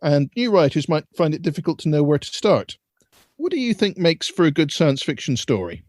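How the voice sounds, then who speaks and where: A man co-hosting a podcast who asks his guest questions in a lively, enthusiastic way. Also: on the high side, brisk, dry recording, clean studio sound. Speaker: podcast co-host. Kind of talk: podcast interview.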